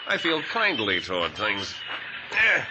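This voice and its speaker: An actor playing a cruel, greedy, mocking crook in a 1950s radio drama. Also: male voice, lowish voice, huge pitch swings, measured pace. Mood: cruel, scheming. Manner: mocking, needling. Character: sneering, greedy, cruel male crook obsessed with gold. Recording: old radio recording, faint noise.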